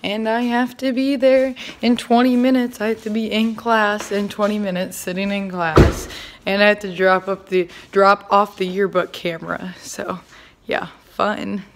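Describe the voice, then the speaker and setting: A woman's voice: medium pitch, flat delivery, a little slow, slight background noise. A teenage girl vlogging casually, rambling with lots of filler words, filmed on a phone at arm's length at home and in a car. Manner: chatty, rambling with many "like" fillers. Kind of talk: casual vlog chat.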